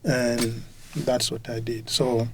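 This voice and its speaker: A man in his fifties from Congo, speaking in a thoughtful interview with frequent hesitations and filler sounds. His voice sits at an ordinary pitch, and a faint noise runs under it. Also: monotone, takes it slowly, a bit bright, low-quality recording. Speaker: college professor. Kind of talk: conversational interview.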